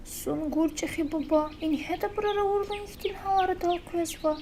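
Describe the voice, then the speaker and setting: Lady doing curious polite little kid in a cartoon, high voice, noisy birds in back. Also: normal speed. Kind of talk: cartoon dub acting. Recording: clear background noise with birdsong.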